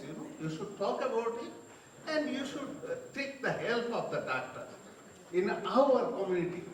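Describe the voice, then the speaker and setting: A man addressing a community seminar, earnestly urging people to seek help. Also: high-pitched. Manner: earnest, imploring, with uneven phrasing. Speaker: seminar speaker. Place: large echoey hall. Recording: echoey hall, distant, faint noise.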